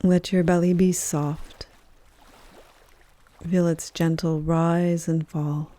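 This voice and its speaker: A woman guides a meditation in a dark, relaxed voice on the low side. She speaks very slowly and gently, leaving soft pauses between phrases. The sound is close and dry. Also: no echo. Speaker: yoga nidra teacher, meditation guide. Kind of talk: guided meditation, soothing scripted narration.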